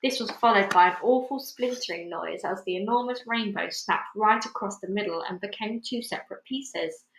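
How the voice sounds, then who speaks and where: A woman reading a children's storybook aloud in an expressive, dramatic way. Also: mid-range voice, normal speed, few pauses. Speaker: book reader. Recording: echoey room.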